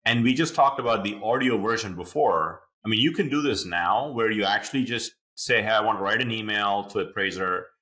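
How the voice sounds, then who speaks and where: A man's voice: on the low side, bright, forceful tone. A man hosting an interview podcast, explaining things at length in an enthusiastic, chatty way with many fillers. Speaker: podcast host. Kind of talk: conversational interview.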